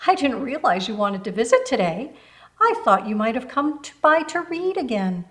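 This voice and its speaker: A woman's voice: on the high side, big pitch swings, normal speed, fairly bright tone. A woman voicing a kind, encouraging older neighbor lady from a children's storybook, folksy and affectionate. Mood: warm, welcoming. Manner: encouraging, folksy, calls listener honey. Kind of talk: children's storybook read-aloud.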